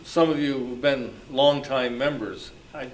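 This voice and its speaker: A man's voice: medium pitch, a little slow. A man informally moderating a group discussion at a club meeting. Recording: noisy live meeting room.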